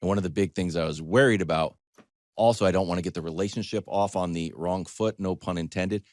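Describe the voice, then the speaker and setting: An American man giving conversational sports talk as a commentator, animated, digressive and self-deprecating as he tells stories. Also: deep voice, a little fast, no echo, studio quality.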